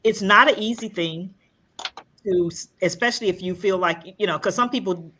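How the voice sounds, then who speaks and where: A woman's voice: mid-range voice, pushed and bright, slight background noise. A woman in her sixties, a guest on a podcast, chatting casually from home over a video call with lots of fillers and restarts. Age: 60s. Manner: halting, many fillers and restarts. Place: home room.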